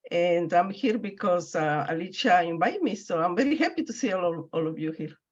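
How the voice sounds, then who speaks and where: Woman from mexico introducing herself, halting, repeats words, muffled call audio. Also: on the low side, lively intonation. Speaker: meeting guest. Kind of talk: self-introduction on a video call. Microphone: video call.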